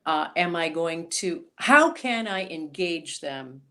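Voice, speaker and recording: A woman singer-songwriter being interviewed on a podcast, talking in an animated, chatty way. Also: low-pitched, slow pace. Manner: animated, chatty storyteller, voices quoted reactions.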